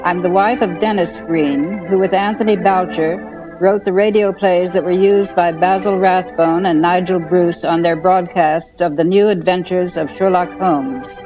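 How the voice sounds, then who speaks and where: An older woman telling casual reminiscences in an unhurried, chuckling manner. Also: fairly low pitch, steady tone, dull audio, music in the background. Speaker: radio writer's wife and co-plotter, interviewee.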